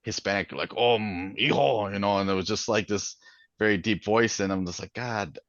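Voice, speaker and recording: A man's voice: on the low side, normal speed, fairly bright tone, no room sound, lo-fi sound. A man from Minnesota with a Minnesotan accent, chatting in an author interview, rambling with lots of fillers and restarts. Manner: rambling, many fillers and restarts, laughs often. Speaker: guest author. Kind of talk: casual interview chat.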